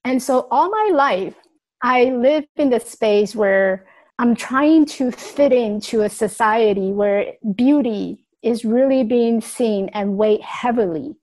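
A woman's voice: a little high, slow pace, darkish tone, low-quality recording. Woman from Taiwan telling her story. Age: adult. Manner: earnest, reflective, with small grammar slips. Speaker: speaker sharing her life story. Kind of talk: personal storytelling talk.

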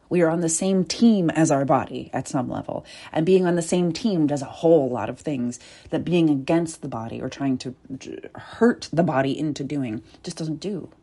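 Woman, low and mellow voice, soft and deliberate, reflective coach delivering a spoken essay. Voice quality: deep and soft. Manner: soft, deliberate, with pauses and whispered asides. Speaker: body-work coach.

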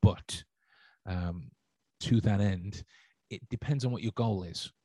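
A man's voice: on the low side, takes it slowly, warm tone, no room sound. A man hosting a solo livestream podcast, talking through his thoughts and answering chat with many restarts and fillers. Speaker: podcast host.

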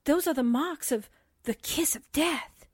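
A woman performs a naive female sidekick from a vintage radio mystery. Her high voice moves slowly and hesitantly, with wide-eyed drama. The sound is dry and close. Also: no echo. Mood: spooked, insistent. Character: excitable, naive, movie-struck female reporter's assistant. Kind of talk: 1940s radio drama acting.

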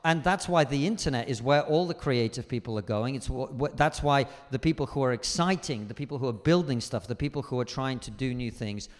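A man, a comedian guest in a live stage chat, talks in a forthright, emphatic way and swears freely. His voice sits at an ordinary pitch. The hall leaves a long reverb tail on every word. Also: fairly quick, hardly any pauses. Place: live venue stage before an audience.

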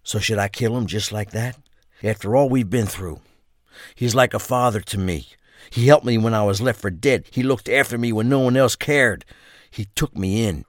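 An actor playing a tough, wary career criminal in a crime audio drama, speaking in a blunt, streetwise way. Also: male voice, on the low side, normal speed, no room sound, clean studio sound, lightly acted. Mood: reluctant, resigned.

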